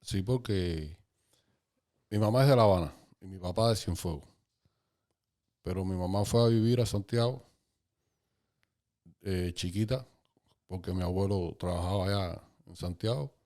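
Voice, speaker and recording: A Cuban man in his fifties, a podcast guest calmly recalling his life story in casual conversation. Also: sits low. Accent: Cuban Spanish. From Cuba. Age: 50s. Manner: calm, unhurried, recalling dates and places.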